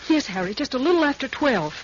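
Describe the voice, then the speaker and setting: High-voiced, frightened daughter. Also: female voice, very animated, fast. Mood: alarmed, fearful. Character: distraught, frightened daughter, fearful and disbelieving. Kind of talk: 1940s radio thriller acting. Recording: muffled old radio, faint hiss.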